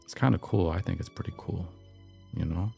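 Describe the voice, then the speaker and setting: A slightly hoarse man hosting a livestream, chatting in a relaxed, reflective, slangy way over soft background music. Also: sits low, a little fast. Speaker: podcast and livestream host. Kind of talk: casual livestream chat.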